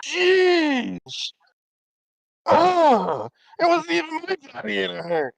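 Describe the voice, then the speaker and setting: A game master voicing a brash, whiny spirit trapped in a carried object, shouting and ranting with a New York accent. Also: sits high, very animated, slow pace, creaky, no echo, pitched above the performer's own voice, full character voice. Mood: outraged.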